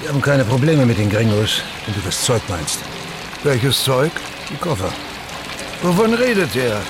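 A man's voice: mid-range voice, sing-song, moderate pace, background noise, natural voice. A man playing a guarded, probing riverboat captain in a radio drama, speaking in terse questions and statements.

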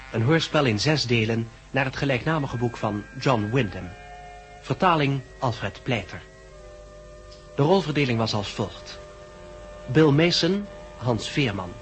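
A man reading formal, scripted credits as a radio drama announcer in a measured manner. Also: medium pitch. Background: faint music.

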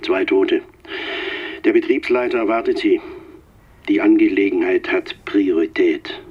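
An actor playing a curt, official interior minister heard through a telephone filter in a radio drama. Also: male voice, on the low side, unhurried, breathy edge. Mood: official, guarded. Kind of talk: naturalistic radio drama acting. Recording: dull band-limited phone line.

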